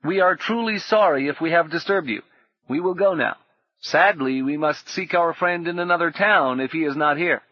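A sinister stranger, voiced by a male narrator, smooth and almost childishly sweet. Every coaxing word carries menace under the false kindness. The sound is dull and muffled. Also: fairly high pitch, normal speed, no echo, pitched above the performer's own voice, close to an everyday voice. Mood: falsely polite.

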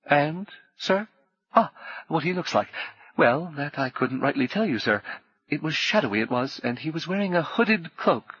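Stout male innkeeper, nervous and rambling, high jittery voice, put on by a male narrator. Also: lively intonation, normal speed, fairly bright tone, husky, dry recording, highs cut off. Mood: flustered. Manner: stammering, rambling, anxious.

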